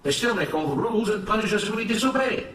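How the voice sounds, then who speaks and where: Man acting an impassioned, defiant enslaved worker, raised pitch. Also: lively intonation, quick pace, fairly bright tone. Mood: indignant. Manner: impassioned, emphatic, protesting. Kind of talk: dramatized story reading. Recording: roomy, noisy, distant microphone.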